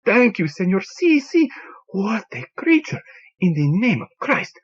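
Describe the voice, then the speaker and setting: A man voicing a grieving, excitable Cuban male bartender who exclaims in a high, breaking voice. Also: measured pace, husky, heavily acted. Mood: excited. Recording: dull lo-fi audio.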